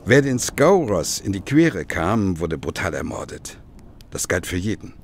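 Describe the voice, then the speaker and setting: An actor playing a grave former detective who recounts his past in a measured, dramatic way in a German radio play. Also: low voice, big pitch swings, a little hiss or hum. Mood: grave, ominous.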